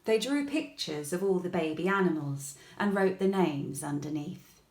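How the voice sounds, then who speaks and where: A woman reading a children's bedtime story aloud in an expressive, gentle way, with deliberate pauses. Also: lowish voice. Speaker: storybook reader. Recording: echoey room.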